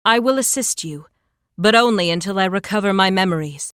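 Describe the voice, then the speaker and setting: Woman playing a clear-voiced, reserved young knight. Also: medium pitch, brisk, fairly bright tone, no echo, clean studio sound, lightly acted. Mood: cool, guarded. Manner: guarded, formal, terse. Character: cool, reserved female knight with lost memories. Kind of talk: video game voice acting.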